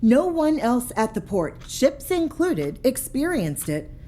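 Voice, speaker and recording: A woman's voice: high-pitched, very animated, slow pace, warm tone, full character voice. A woman voicing a starship purser who reports to an inspector in a businesslike way. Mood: reporting. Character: ship's purser, woman, dutiful and tired.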